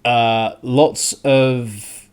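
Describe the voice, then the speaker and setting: A man hosting a radio show, giving a casual, opinionated rant on the news in a halting way, restarting phrases and stressing points in exasperation. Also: mid-range voice, fairly even intonation, smooth.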